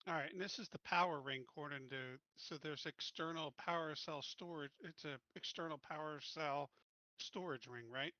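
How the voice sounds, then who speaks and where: Male player, higher bright voice, direct and jokey, dry lo-fi online audio. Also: moderate pace, no room sound. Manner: direct, pressing questions with blunt joking asides. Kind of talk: casual tabletop game chat.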